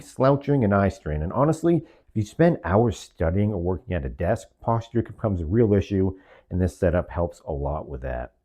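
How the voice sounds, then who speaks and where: Low, mellow male product reviewer. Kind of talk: product review demonstration, semi-scripted.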